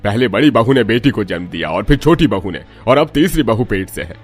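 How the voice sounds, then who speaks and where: A man voicing a harsh, domineering older father-in-law in a cartoon drama, scolding with a husky voice. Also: lowish voice, expressive, brisk, faint music behind. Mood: angry, domineering. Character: harsh older patriarch father-in-law throwing out his daughters-in-law.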